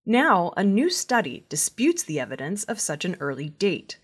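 Woman, slightly low husky voice, measured formal news narration. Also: very animated. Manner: measured, formal newsreader delivery. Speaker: news presenter.

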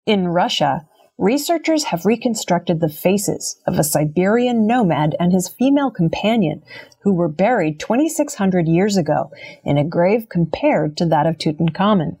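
A woman's voice: fairly low pitch, expressive, normal speed, mellow, breathy edge. A woman reading scripted archaeology news as a narrator. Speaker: news reader.

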